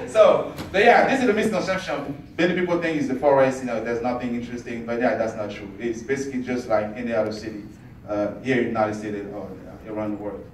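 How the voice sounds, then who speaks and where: A man from Ivory Coast fielding questions after a talk, speaking in halting stretches full of fillers and restarts. He sounds far from the microphone, in a very echoey room with faint background noise. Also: mid-range voice. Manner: halting, many fillers and restarts, laughs easily. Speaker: student presenter answering audience questions. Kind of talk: Q&A after a presentation.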